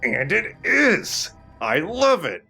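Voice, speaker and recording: A man's voice: fairly high pitch, wildly swooping pitch, slow pace, gravelly, bright, forceful tone, slightly rough, faint music behind. A man giving casual, enthusiastic gameplay commentary as a let's play host, with some casual swearing.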